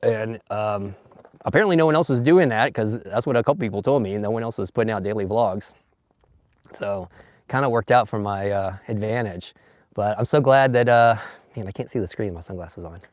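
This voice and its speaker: A slightly hoarse man chatting casually on a phone live stream outdoors at a campsite, laughing often and greeting viewers one by one. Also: fairly low pitch, a little fast, dry recording, studio quality. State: slightly hoarse after losing his voice. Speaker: vlogger. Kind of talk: casual live stream chat, reading comments. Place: outdoors at a campsite in bright sun.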